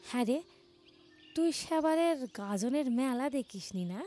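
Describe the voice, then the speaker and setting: High-voiced, teasing village woman. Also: lively intonation, a little slow, dark tone, soft background music. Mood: wistful, frank. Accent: rural West Bengal dialect. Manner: teasing, colloquial, scolding familiarity. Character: bold, teasing young village woman, plain-spoken and caring. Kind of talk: audio story acting.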